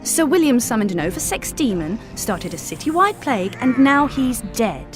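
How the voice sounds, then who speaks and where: A woman's voice: a little high, sing-song, normal speed. An actress playing a stern, exasperated elder-sister noblewoman in a video game, speaking in a curt, scornful way. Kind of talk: video game character acting. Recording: game music behind.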